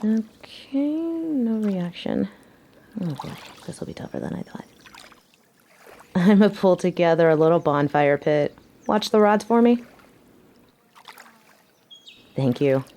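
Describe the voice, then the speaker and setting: Low, mellow woman acting a wry biker girlfriend. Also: expressive, unhurried. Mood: teasing. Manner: teasing, wry, casual slangy phrasing. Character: tough, sardonic but caring young biker girlfriend. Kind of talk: scripted roleplay audio drama, intimate one-sided conversation. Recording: faint background noise.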